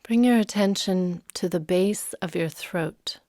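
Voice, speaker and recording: A woman leading a guided meditation as a yoga nidra teacher, soothing and unhurried, leaving long pauses. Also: fairly low pitch, dark tone, dry recording, studio quality.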